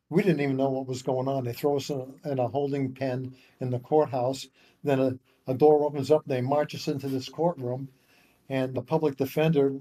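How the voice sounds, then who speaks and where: A man's voice: mid-range voice, mellow. A man in his seventies, a guest author, telling a long anecdote in a rambling way with frequent restarts. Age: 70s. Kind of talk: casual interview storytelling.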